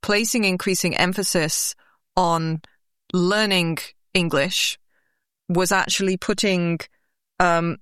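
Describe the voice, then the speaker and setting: Curious woman interviewer with a smooth voice. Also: lowish voice, fairly even intonation, slow, a bit bright, no room sound, clean studio sound. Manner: curious, probing, enthusiastic questioner. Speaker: interviewer, host. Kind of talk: interview questions.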